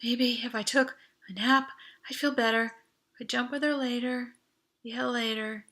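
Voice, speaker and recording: A woman narrates an audiobook in the first person. Her voice is bright and forward, a little high, and she reads with expressive urgency and drama. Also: measured pace. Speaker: author reading own novel.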